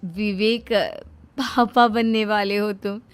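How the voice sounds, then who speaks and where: A woman voicing young married daughters who grumble in a whiny, animated way about lazy husbands, in a cartoon story. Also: medium pitch, slow, smooth, close to an everyday voice. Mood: earnest.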